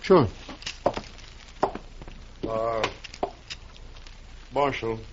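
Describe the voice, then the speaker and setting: A man's voice: medium pitch, extremely slow. A man playing an anxious, eager railroad man in a 1950s radio western, tentative and questioning. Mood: uneasy. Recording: muffled, noisy old 1950s radio recording.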